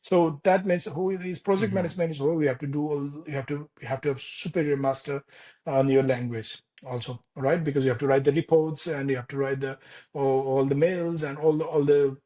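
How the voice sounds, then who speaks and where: A man in his thirties from Nepal with a Nepali accent, speaking as an interview guest in a casual, halting way with many restarts and fillers. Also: a little high, fairly even intonation, dark tone. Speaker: architect guest. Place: Denmark.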